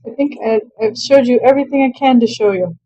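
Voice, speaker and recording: A young woman casually commenting on her own strategy game play, chatty and quick to laugh at herself. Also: a little high, mellow, breathy edge, no echo, muffled recording. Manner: chatty, self-deprecating, laughs often. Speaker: let's play gamer.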